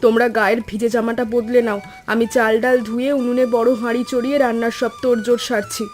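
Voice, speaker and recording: A farmer's wife in a village cartoon, played by a woman. She is capable and nurturing, with a fairly high voice over music. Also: level tone, quick pace, lightly acted. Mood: reassuring. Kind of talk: scripted cartoon dialogue.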